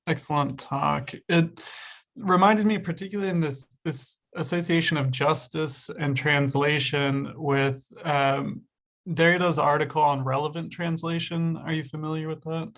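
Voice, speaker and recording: A man's voice: on the high side, steady tone, a little slow, a bit bright. A man in the audience asking a lecturer an earnest, hedging question over a video call. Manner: earnest, hedging, frequent fillers. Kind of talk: academic Q&A question.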